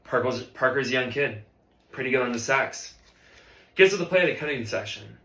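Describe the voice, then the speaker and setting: A man's voice: mid-range voice, animated, brisk, bright, forceful tone, roomy sound, low-quality recording. A man playing a ruthless, abusive jazz band conductor in a dramatic film-script performance, profane and interrogating. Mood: intense, reflective.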